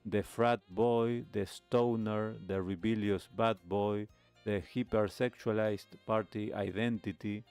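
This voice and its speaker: A man hosting a talk show, giving commentary on a video in measured, hesitant phrasing. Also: fairly low pitch, fairly even intonation, a little mellow, clean tone, faint music behind. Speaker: host of a nostalgia talk show.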